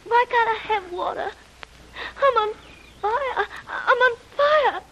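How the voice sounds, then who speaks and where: A woman's voice: extremely high pitch, takes it slowly, a bit bright, a little hiss or hum, exaggerated voice. Feverish, delirious young woman. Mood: pleading. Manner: feverish, pleading cries for water. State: crying. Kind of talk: 1930s radio acting. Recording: muffled old radio recording.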